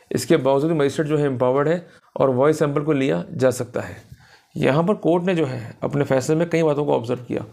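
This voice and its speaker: A man's voice: on the high side, vocal fry, darkish tone. A man with an Indian accent giving an explanatory law lecture in a didactic way, addressing his listeners directly and switching into English legal terms.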